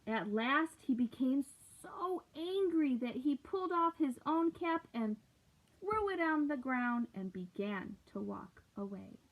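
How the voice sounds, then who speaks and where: A woman reading a picture book aloud to children, gently asking them questions along the way. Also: sits high, lively intonation, a little slow. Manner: gentle, asks listeners questions. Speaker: read-aloud storyteller. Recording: tinny lo-fi recording.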